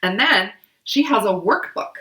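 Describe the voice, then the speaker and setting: A woman co-hosting a book club podcast, hoarse and coughing from a cold, chatting casually with many fillers. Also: medium pitch, expressive, a little slow, sharp tone, roomy sound, poor audio. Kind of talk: casual explanatory chat.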